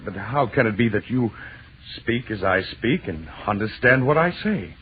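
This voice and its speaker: An actor playing an awestruck, frightened and insistently curious husband, stammering urgent questions in an old-time radio horror drama. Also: male voice, lowish voice, lively intonation, normal speed, close to an everyday voice. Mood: bewildered, awed. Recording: narrow-band old radio broadcast with hiss.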